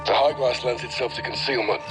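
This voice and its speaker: A man playing a seasoned special forces captain in a game cutscene, gruff and terse. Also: mid-range voice, steady tone, a little fast, loud music behind the voice, natural voice. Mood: grave. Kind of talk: cinematic game acting.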